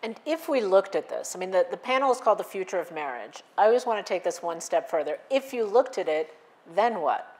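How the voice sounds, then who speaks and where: Lively-voiced woman interviewer, pressing questions. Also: mid-range voice, a little fast. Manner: probing, follows up with questions. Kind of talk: festival stage interview. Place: festival stage. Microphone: lapel mic.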